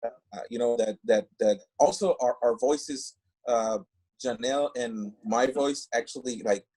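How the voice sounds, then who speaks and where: A man, a band singer guesting on a podcast, talking casually and haltingly as he searches for English words. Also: medium pitch, a little mellow, slightly rough, poor audio. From Mexico. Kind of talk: casual interview chat.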